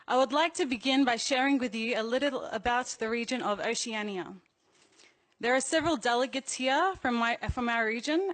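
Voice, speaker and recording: Higher-pitched young Australian woman giving a speech. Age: young adult. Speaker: youth delegate. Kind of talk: prepared speech to an assembly.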